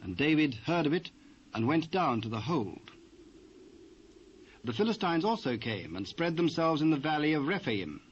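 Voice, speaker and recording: A British man narrating a dramatised Bible reading in a measured, formal manner. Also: a little high, very animated. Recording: dull, noisy old cassette.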